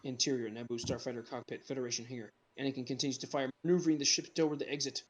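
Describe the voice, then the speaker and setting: A man's voice: medium pitch, fairly even intonation, very fast, rough recording. A man reading screenplay directions aloud as the narrator of a group script reading.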